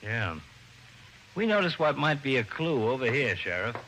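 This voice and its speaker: An earnest, dutiful policeman in a vintage radio detective play. He is plain-spoken, with a mid-pitched, bright voice that moves freely up and down, over faint noise. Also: big pitch swings, moderate pace, low-quality recording. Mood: puzzled, earnest. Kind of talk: old-time radio detective drama acting.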